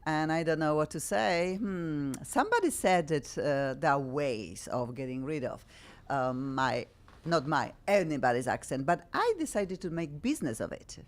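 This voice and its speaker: A woman's voice: low-pitched, moderate pace. Bubbly Polish-accented woman host. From Poland. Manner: effusive, bubbly, animated, frequent laughs. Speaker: talk show host. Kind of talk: excitable talk show chat.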